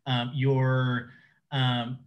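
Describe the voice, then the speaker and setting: A man who clutters puts his questions to a guest in a hesitant, stop-start way at an everyday pitch. Fillers and restarted words run all through his sentences. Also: completely flat delivery, muffled recording. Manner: halting, many fillers and repeated words. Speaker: interviewer, podcast host. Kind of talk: casual interview questions.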